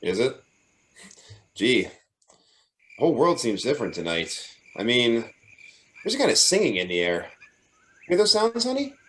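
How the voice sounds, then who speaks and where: A man's voice: medium pitch, very animated, normal speed, long pauses, a bit bright, slight background noise. A man playing an adoring, playful young fiancé in an old-time radio drama, sweet-talking and coaxing.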